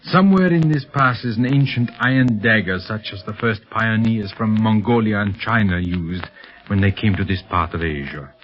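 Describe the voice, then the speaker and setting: An actor playing an aging, eccentric villain inventor, formal and evasive, in 1930s radio serial style. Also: male voice, on the low side, natural voice. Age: older. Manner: formal, courtly, hesitant evasive pauses. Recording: muffled old 1930s radio recording.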